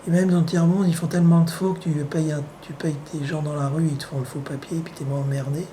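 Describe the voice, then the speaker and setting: Hoarse sick guy rambling at home, dry sarcastic, lots of euh, mellow dark voice. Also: a little high, flat delivery, fast, slight background noise. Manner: sardonic, wry, full of hesitations. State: hoarse, has the flu. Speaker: vlogger. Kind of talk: rambling casual monologue.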